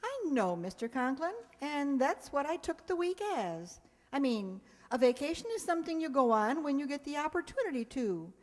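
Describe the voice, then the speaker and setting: A woman's voice: high-pitched, big pitch swings, moderate pace, darkish tone. A woman acting in a radio sitcom as a wisecracking schoolteacher, with a dry, sardonic delivery. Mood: defensive, wry. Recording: echoey hall, long reverb.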